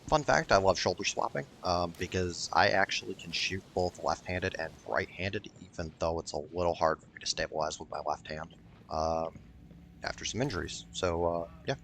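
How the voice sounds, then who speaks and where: A low, bright-toned man talks over a video game he is playing. He rambles casually, swears freely and has a wry, exasperated manner. Game sound and faint noise sit behind him. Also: moderate pace, vocal fry. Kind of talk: casual gameplay commentary.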